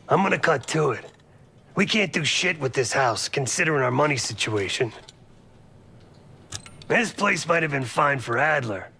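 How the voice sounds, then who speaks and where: A man acting as a cynical, blunt veteran operative in a video game cutscene, with a gravelly voice and a sardonic, folksy manner. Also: fairly high pitch, pushed and bright, heavily acted. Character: gruff, cynical, foul-mouthed male veteran operative. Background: music.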